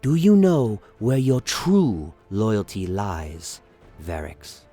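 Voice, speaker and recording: A man's voice: wildly swooping pitch, dark tone, faint music behind, heavily acted. A man acting a mad, secretive prince in a radio play, smirking and conspiratorial, drawing out his words. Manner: low, conspiratorial, drawn-out.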